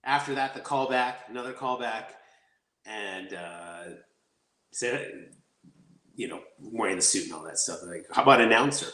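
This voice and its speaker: A man's voice: fairly low pitch, moderate pace. Guy telling stories in an interview, talks in bursts, lots of restarts, echoey room. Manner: rambling, energetic storytelling with many restarts. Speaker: actor guest. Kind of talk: casual interview chat. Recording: roomy echo.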